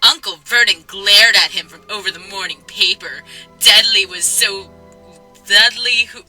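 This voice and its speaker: A woman's voice: medium pitch, measured pace, bright, forceful tone, soft background music, poor audio. A woman narrating a comic dramatic story reading in a playful, mock-dramatic way, often stumbling over her lines.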